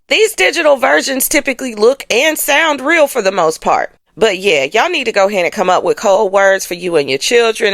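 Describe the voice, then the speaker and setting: A woman's voice: mid-range voice, creaky, fairly bright tone, no echo. An American woman giving casual astrology commentary as a show host, speaking in a colloquial, slangy, emphatic way and often checking in with listeners.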